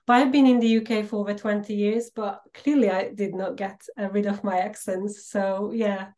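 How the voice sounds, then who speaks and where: A middle-aged woman from Slovakia with a Hungarian-Slovak accent, a podcast guest telling her life story earnestly with frequent pauses. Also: medium pitch, fairly even intonation, warm tone. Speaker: podcast guest, empowerment coach. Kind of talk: personal storytelling interview.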